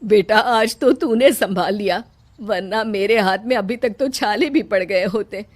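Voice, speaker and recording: A woman's voice: mid-range voice, measured pace, lightly acted. A woman voicing a kindly older mother-in-law in a cartoon story, motherly, reassuring and encouraging. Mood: affectionate. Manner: motherly, plain-spoken, encouraging.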